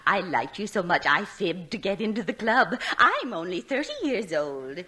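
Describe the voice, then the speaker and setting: A woman's voice: medium pitch, very animated, normal speed, pushed and bright. Gushing, swooning older lady fan. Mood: coy. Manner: gushing, coy, theatrical. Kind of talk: 1940s radio comedy acting.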